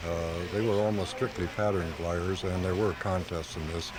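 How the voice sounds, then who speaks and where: A man's voice: low voice, fairly even intonation, moderate pace, a crowd in the background. A man being interviewed as a hobbyist on live radio, explaining things casually and plainly. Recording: noisy home-taped live radio.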